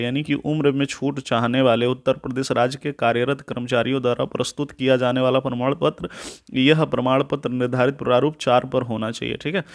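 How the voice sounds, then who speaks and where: Man giving an instructive explainer. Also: medium pitch. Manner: instructive, repeatedly checks in with a tag question. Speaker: tutorial presenter. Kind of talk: informal step-by-step explainer, reading points then explaining.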